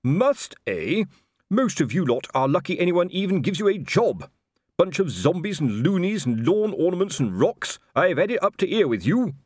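A pompous, red-faced watch captain, voiced by a man reading an audiobook, bellowing in a strained, raised voice. His outrage pushes the pitch well above the reader's own and swings it widely. The recording is dry and close. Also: very animated, moderate pace, no echo. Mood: furious. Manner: shouting, indignant bluster. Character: blustering middle-aged male watch captain, pompous and irritable. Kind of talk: audiobook character voice.

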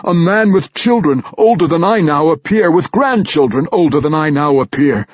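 A man voicing a huge young barbarian man, proud and tormented, declaiming loudly. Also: on the high side, lively intonation, normal speed, dry recording, dull audio, voice pushed up. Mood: ranting. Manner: loud, declaiming, anguished.

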